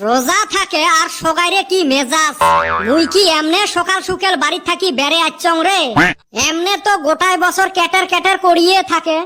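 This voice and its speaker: High-pitched wisecracking cartoon village lad. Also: steady tone, moderate pace, bright, forceful tone. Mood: teasing, complaining. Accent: Rangpuri, northern Bangladesh. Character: lazy, wisecracking young village lad making excuses. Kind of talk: comedy cartoon dialogue. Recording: music behind the voice. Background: music.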